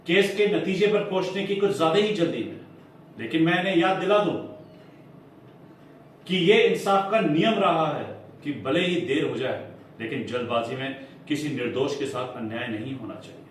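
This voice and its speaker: A man's voice: a little high, lightly acted. Man acting an insinuating defence lawyer, very echoey room. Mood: firm, objecting. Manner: formal, emphatic, with deliberate dramatic pauses. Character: smooth, insinuating male defence lawyer arguing in court. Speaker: acting student performing a monologue. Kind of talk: theatrical courtroom monologue in Hindi with English phrases. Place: indoor acting class room. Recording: echoey room, distant mic, faint noise.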